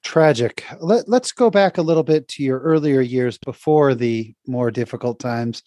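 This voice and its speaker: A man hosts a podcast interview in a friendly, upbeat way, often filling pauses with "uh". His voice sits at an ordinary pitch for a man. The sound is dry and close. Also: warm tone, no echo. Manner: upbeat and friendly, with frequent fillers. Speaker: podcast host.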